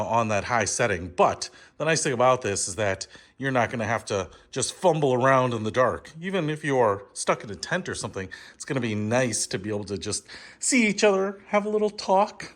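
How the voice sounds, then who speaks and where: A man hosting his own channel, giving a casual, upbeat product review in a studio, with a playful showman's manner. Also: medium pitch.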